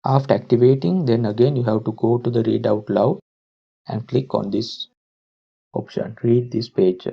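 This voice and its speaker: A man presenting a step-by-step software tutorial as a screen-recording voice-over. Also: medium pitch, fairly even intonation, moderate pace, gravelly, breathy edge, lo-fi sound. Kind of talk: instructional screen-recording narration.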